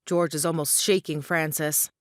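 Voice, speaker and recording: A woman's voice: deep voice, fairly even intonation, moderate pace, no echo, clean studio sound. A woman reading scripted narration and stage directions for an audio play.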